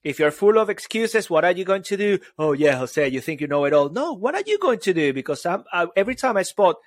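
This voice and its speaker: A man with a Venezuelan Spanish accent co-hosting a podcast, energetic and playful in casual chat. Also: fast talker. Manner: energetic and playful, exclaims "boom". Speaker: podcast co-host. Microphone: studio microphone.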